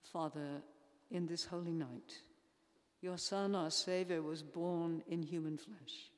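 An older woman leading formal prayers in a solemn, measured way, reading with pauses between phrases. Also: fairly low pitch, steady tone. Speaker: church intercessor leading prayers. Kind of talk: formal read liturgical prayer. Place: large church interior. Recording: echoey church hall, long reverb.

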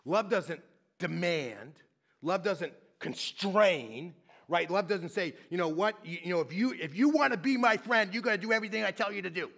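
A middle-aged male pastor giving a sermon in a church, his voice slightly higher than most men's. He talks in a chatty, animated way, often breaking off and restarting and checking in with the congregation. He moves around the stage near a stand mic. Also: moderate pace, vocal fry. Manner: conversational, animated, frequent restarts and "right?".

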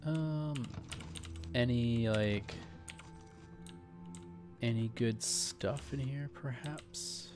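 A man's voice: medium pitch, extremely slow, pauses often. A man hosting a let's play, giving casual, offhand gaming commentary with joking slang. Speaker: gaming video host. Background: game music, keyboard clicks.

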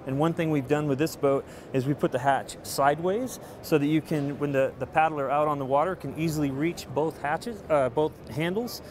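A man's voice: a little high, moderate pace, hardly any pauses. A man in his forties giving a casual, informal product walkthrough as a company representative, sometimes hesitating mid-phrase. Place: large indoor convention hall booth. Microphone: handheld interview microphone held by interviewer. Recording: noisy busy trade show floor.

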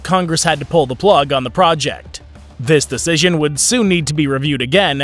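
A man giving scripted documentary narration in an even, informative way. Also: fairly high pitch. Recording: voice-over with faint background music.

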